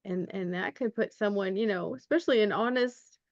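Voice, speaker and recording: A woman hosting a podcast, interviewing a guest with gentle, measured questions. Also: medium pitch, no room sound, muffled recording. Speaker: podcast host.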